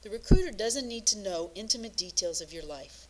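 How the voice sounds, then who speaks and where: A woman's voice: mid-range voice, expressive, brisk, a little mellow, low-quality recording. Woman presenting a scripted career lesson. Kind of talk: scripted instructional narration.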